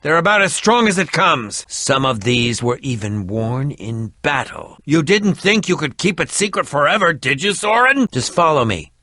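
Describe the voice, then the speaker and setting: An actor playing an eccentric, haughty and excitable male alchemist in a video game, with a theatrical, pompous, indignant delivery. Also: fairly high pitch, moderate pace, few pauses, dry recording, exaggerated voice. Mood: grandiose, secretive. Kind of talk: animated video game voice acting.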